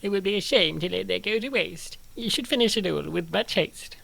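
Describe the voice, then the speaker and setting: A man's voice: sits high, a little fast, vocal fry, pushed and bright, pitched above the performer's own voice, exaggerated voice. A man voicing a pushy, cheeky young woman who cajoles her friend in a comic dub. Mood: coaxing. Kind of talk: comic dub character acting.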